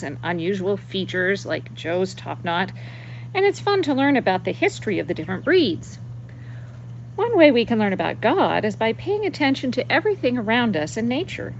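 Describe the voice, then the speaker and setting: A woman giving a gentle children's talk outdoors, explaining things in a clear, teacherly way. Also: medium pitch, lo-fi sound, a little hiss or hum. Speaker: children's story presenter at church. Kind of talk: children's lesson about her chickens. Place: outdoors with chickens in grass.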